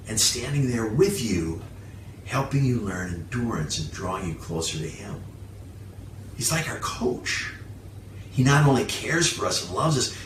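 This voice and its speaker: A male pastor delivers a sermon with emphatic phrasing and a lively, varied pitch in a medium register. The room echoes around him and the microphone sounds some distance away. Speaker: pastor preaching. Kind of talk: sermon with scripture reading. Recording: roomy, distant mic, background hiss.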